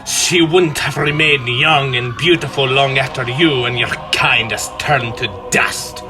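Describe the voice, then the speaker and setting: An actor playing an imperious, vengeful vampire count in theatrical radio drama style, grandiose and menacing. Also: male voice, medium pitch, a little slow, over music, lightly acted. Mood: scornful.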